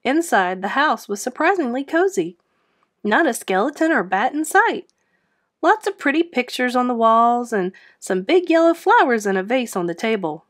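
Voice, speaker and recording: A woman narrating a children's book aloud in an expressive storybook style. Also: on the high side, normal speed.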